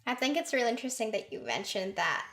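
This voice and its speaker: A woman's voice: a little high, sing-song, quick pace, fairly bright tone. A woman from Sudan, co-hosting a podcast, talking earnestly in casual chat. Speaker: podcast co-host.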